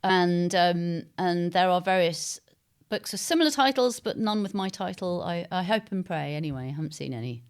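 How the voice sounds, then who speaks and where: An English woman with a slightly low-pitched voice talks as an interviewed author. Her delivery is conversational and discursive, feeling its way through loosely linked phrases with some hesitation. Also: expressive, moderate pace, clear, resonant tone. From East London, England.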